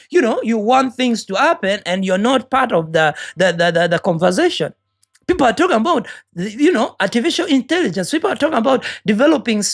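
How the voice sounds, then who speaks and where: A woman from Uganda with a Ugandan accent, giving an earnest, preachy motivational talk and often repeating words as she searches for the next one. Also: fairly high pitch. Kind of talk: motivational monologue.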